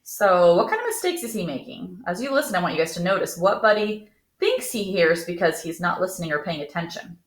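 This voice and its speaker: A woman's voice: lowish voice, lively intonation, brisk, echoey room. A woman teacher reading a picture book aloud expressively and putting questions to young listeners.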